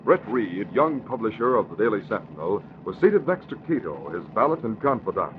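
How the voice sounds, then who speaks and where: A man's voice: lowish voice, sing-song, a little fast. An American man narrating a radio adventure in a dramatic 1940s announcer style. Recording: dull, faintly noisy old radio recording.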